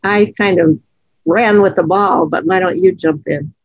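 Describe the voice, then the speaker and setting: A woman co-hosting a podcast, chatting frankly and laughing often, her voice low from allergies. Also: unhurried, slightly rough, dry recording, dull audio. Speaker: podcast co-host. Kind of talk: casual conversational podcast.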